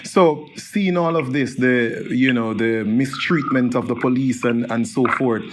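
A man's voice: medium pitch, unhurried, noisy background. Hesitant male radio show host. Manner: hesitant, restarts, many "um"s. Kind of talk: radio interview hosting.

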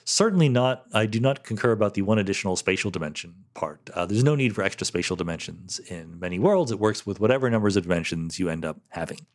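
A man's voice: fairly low pitch, lively intonation, fast, hardly any pauses. A middle-aged man hosting a solo podcast, answering listener questions candidly with fillers like "um" and "you know". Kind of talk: solo podcast Q&A, thinking aloud.

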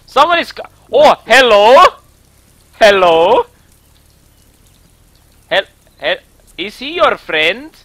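A man with an Estonian accent improvising a campy, pleading singer character in halting broken English during a game stream. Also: high-pitched, sing-song, bright, forceful tone, dry recording, full character voice. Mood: pleading, anxious. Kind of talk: improvised role-play while streaming a game.

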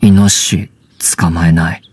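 Wolf-raised wild boy, anime game: terse and halting, deep, unhurried delivery, guarded. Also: flat delivery, background noise. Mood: calm, plain. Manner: halting, terse, simple broken phrases. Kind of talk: Japanese anime video game voice acting.

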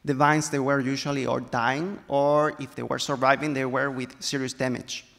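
Chilean-accented man with gravelly voice. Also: medium pitch, little pitch movement, normal speed, fairly bright tone. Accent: Chilean Spanish. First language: Spanish. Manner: explanatory, careful phrasing in second language. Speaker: panelist, viticulture specialist. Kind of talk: panel discussion. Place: stage in a large hall. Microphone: handheld mic. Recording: long hall reverb.

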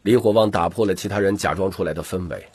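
Deep, gravelly male audiobook narrator. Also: fast, darkish tone, no room sound. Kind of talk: dramatic scripted fantasy narration.

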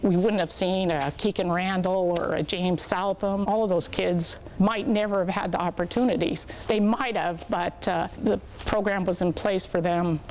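A woman's voice: lowish voice, fairly even intonation, moderate pace, hardly any pauses, a little mellow, slight background noise, low-quality recording. An older woman, a former ski coach, speaking appreciatively in an interview clip. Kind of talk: interview soundbite.

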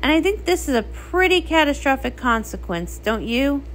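A woman acts a cool, practical female pony from a comic story. The delivery is dry and matter-of-fact, with a varied pitch that often drops lower. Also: sing-song, moderate pace, slight background noise. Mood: dry, serious.

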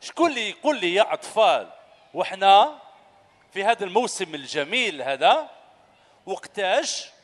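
Lively Algerian man quizzing kids. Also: sits high, moderate pace, pauses often. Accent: Algerian Arabic. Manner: animated, coaxing, asks children questions. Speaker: children's show host. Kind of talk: lively quiz with children on stage. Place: large indoor sports hall full of children. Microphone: handheld mic. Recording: echoey hall, loud crowd.

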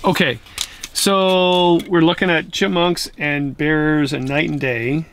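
A middle-aged man with a gravelly, slightly high voice talks casually to a camera held at arm's length. He rambles and chuckles as he tells a campfire legend, filmed in a tent and then out on a trail. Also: slow, a little hiss or hum. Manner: rambling, chuckling, animated storyteller. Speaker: camping vlogger. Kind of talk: casual storytelling and trail commentary. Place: inside a tent at night, then outdoors on a trail. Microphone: handheld camera at arm's length.

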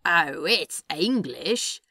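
A teasing male demon from fan fiction as a woman acts him out, lowish and very animated. The delivery is sly, sardonic and casually drawled, recorded close and dry. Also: extremely slow, no room sound, full character voice. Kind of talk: fan fiction dialogue reading.

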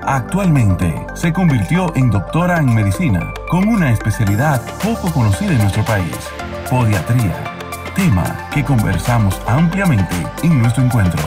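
Male announcer with a lively voice-over. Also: medium pitch, normal speed. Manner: announcer delivery. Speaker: show announcer. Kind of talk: scripted voice-over narration. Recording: voice-over over clear music.

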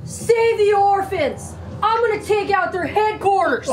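A woman acts a tough, ice-cold eighties action heroine in a radio-play trailer. The voice is high and very animated, drawing out cocky one-liners. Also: a little slow, slight background noise. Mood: defiant.

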